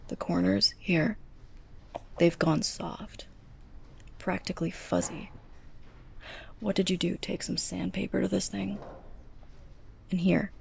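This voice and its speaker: A young woman playing a sarcastic, scolding, snobbish librarian's assistant in a close-up ASMR roleplay, breathy and often near a whisper. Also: on the low side, steady tone, takes it slowly, long pauses, darkish tone, slight background noise, heavily acted. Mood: annoyed, sarcastic. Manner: sarcastic, exasperated, pointed delivery.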